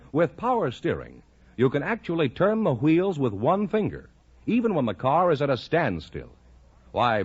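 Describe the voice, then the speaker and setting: A man's voice: fairly high pitch, slightly rough. An American man reading a scripted 1950s radio car commercial with emphatic salesmanship. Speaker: commercial announcer. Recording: dull vintage radio recording.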